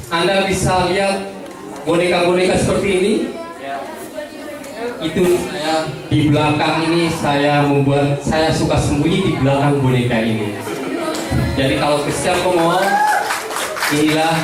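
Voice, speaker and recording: A man's voice: fairly high pitch, steady tone, measured pace, long pauses, music in the background. An Indonesian man giving a motivational talk to students, joking and asking the crowd questions. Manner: joking, asks the audience questions. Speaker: motivational speaker. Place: large school hall. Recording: very echoey hall, speaker far from mic. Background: students laughing and reacting.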